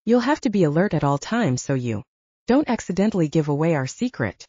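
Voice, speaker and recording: A woman reading the lines of a calm, earnest male werewolf alpha in an audiobook. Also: low-pitched, brisk, slightly rough, dry recording, lo-fi sound, heavily acted.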